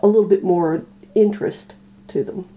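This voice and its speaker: Very mellow, dark-voiced woman co-host. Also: fairly low pitch, fairly even intonation, moderate pace. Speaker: podcast co-host. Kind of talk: casual chat.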